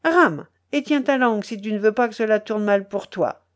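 A woman's voice: a little high, big pitch swings, unhurried, a little mellow. A woman voicing a brutal, commanding male smuggler in an audiobook, harsh, mocking and imperious in manner. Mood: angry, commanding. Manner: harsh, imperious, growling orders. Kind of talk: dramatic audiobook character voice.

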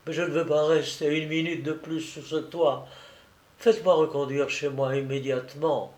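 A man reading aloud, voicing a gentle young woman who speaks tenderly through grief. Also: on the high side, little pitch movement, normal speed, natural voice. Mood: sorrowful. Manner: tender, pleading. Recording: echoey room.

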